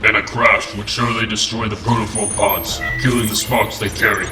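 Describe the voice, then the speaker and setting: A man's voice: fairly low pitch, flat delivery, moderate pace, close to an everyday voice. A man playing a protective, resolute robot captain in a comic dub, solemn and grave. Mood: grave hope. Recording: echoey, loud music underneath.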